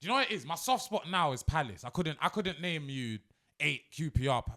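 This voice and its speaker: A man's voice: fairly high pitch, lively intonation, a little fast, pushed and bright. A young man hosting a casual chat, playful and teasing, full of slang. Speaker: podcast host. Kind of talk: casual banter.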